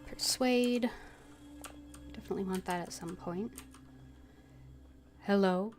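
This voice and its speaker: A woman game streamer casually reading game text aloud and muttering low-key asides. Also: slow, darkish tone. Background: game music, keyboard typing.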